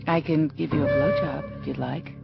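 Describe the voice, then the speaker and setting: Intimate young mother, creaky voice. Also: sits low, huge pitch swings, normal speed, heavily acted. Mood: matter-of-fact. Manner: intimate, affectionate. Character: warm young mother, woman in her early thirties. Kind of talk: audio drama dialogue. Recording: dull lo-fi audio under loud music. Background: loud music.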